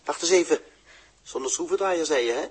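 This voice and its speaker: An actor playing a bossy, impatient, technically minded space explorer in a 1960s radio drama, gruff and explanatory. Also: male voice, a little high, a little fast. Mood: exasperated. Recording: noisy early 1960s radio recording with clicks.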